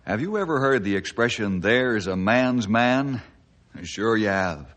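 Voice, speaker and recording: A man playing a friendly, steady forest ranger who narrates and acts in an old-time radio drama, in a folksy storytelling style. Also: lowish voice, a little slow. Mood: folksy, admiring. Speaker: narrator and lead character.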